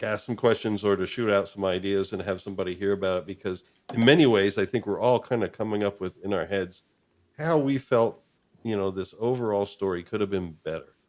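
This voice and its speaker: A man with a fairly low voice hosts a live stream. He chats casually and appreciatively, rambling a little and pausing as he gathers his thoughts. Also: darkish tone.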